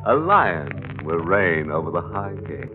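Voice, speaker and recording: A muffled 1940s radio recording with music carries a sinister male horror host. He speaks low and very slowly, savoring each macabre pun with mocking glee. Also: big pitch swings. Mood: gleefully macabre. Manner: mocking, punning, drawn-out delivery.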